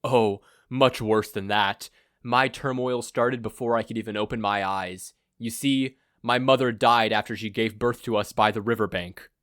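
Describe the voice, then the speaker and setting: A man's voice: on the low side, fairly even intonation, moderate pace, fairly bright tone, no room sound, clean studio sound, close to an everyday voice. A man voicing a sinister, self-absorbed male supervillain who monologues in a pompous, theatrical way, in a story reading. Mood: boastful storytelling.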